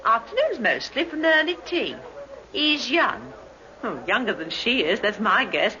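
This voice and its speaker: An obliging working-class waitress in a vintage radio play. Her voice is high and swoops wildly as she chats and muses. The old recording is muffled. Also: sing-song, moderate pace, pushed and bright. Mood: musing, coy. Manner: chatty, musing, deferential. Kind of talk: old-time radio drama acting.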